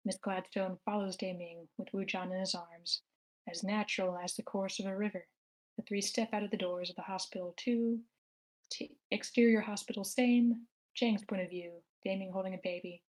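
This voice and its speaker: Woman narrating evenly, little pitch movement. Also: steady tone, normal speed, rough recording. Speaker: narrator reading stage directions. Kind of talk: scripted narration at screenplay table read. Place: online meeting, own room.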